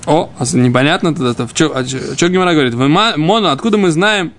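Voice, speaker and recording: A man teaching an informal Talmud lesson, reading the text and explaining it, haltingly and with repeated phrases. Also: a little high, expressive, fairly bright tone.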